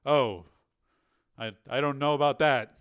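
A man's voice: mid-range voice, unhurried, a bit bright, clean tone, natural voice. A male narrator plays a nervous man who is used to authority and now out of his depth. He stammers and protests in an audiobook scene, uneasy and easily startled. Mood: flustered.

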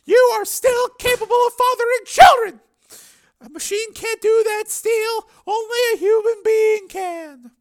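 A man voicing a defensive, anxious woman scientist in an audiobook, uneasy yet insistent, explaining and pleading in halting phrases. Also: extremely high pitch, fairly even intonation, voice pushed up.

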